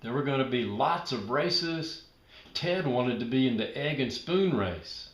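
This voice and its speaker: Slow, expressive man reading a children's story. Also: mid-range voice, roomy sound. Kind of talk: children's read-aloud narration.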